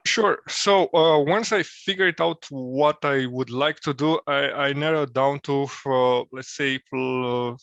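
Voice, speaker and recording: A man speaks at an average pitch, pausing often to think. His voice is bone-dry and comes through dull lo-fi video-call audio. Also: fairly bright tone, no echo. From Romania. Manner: thoughtful, many filler pauses. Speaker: interview guest. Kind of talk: casual career interview.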